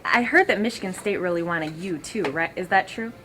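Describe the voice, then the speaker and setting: Woman asking students questions quick, low-ish voice, soft music under it. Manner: brisk, string of questions. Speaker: interviewer. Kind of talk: interview questions.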